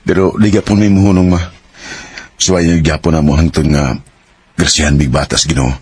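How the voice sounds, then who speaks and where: An actor playing a devoted, hopeful young husband in a Filipino radio drama, speaking with a Filipino accent. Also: male voice, rumbling low, steady tone, normal speed, a little mellow, husky.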